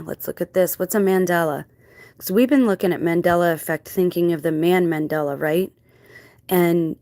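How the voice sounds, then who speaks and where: Female video host, lowish register, close dry sound; explanatory monologue that keeps putting questions to the listener. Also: a little mellow, no echo. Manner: rhetorical questions, checking in with listener. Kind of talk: explanatory monologue on spiritual theories.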